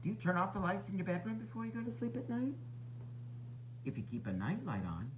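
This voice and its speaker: A man narrating a children's storybook as a teacher would, expressive and instructive for young listeners. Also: sits high, fairly quick, dull audio, background noise. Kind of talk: children's read-aloud narration.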